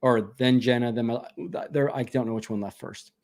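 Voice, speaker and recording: A man's voice: mid-range voice, fairly even intonation, normal speed, a little mellow. Chatty man reading out viewer questions. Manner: reads chat questions aloud and answers chattily, laughing often. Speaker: livestream host answering viewer questions. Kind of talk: casual live Q&A chat.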